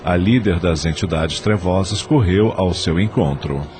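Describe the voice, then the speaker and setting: A man's voice: low-pitched, unhurried, darkish tone, a little hiss or hum. A man with a Brazilian accent giving scripted third-person narration for an audio drama.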